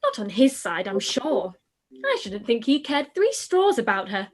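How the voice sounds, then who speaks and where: A woman's voice: fairly high pitch, very animated, a little slow. A woman playing a giddy, careless teenage girl who chatters in a live-read radio play. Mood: gossiping. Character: giddy, silly youngest sister, teenage girl.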